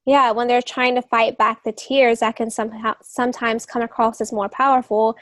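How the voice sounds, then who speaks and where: A woman with a slightly high voice and flat, even intonation speaks as a podcast co-host. She explains things casually and in a measured way. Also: no room sound.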